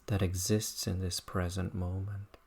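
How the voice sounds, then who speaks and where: Low voice meditation teacher doing a body scan, super slow n thoughtful, lots of halting phrases. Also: flat delivery, creaky, a little mellow, slightly rough. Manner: unhurried, contemplative, halting phrases.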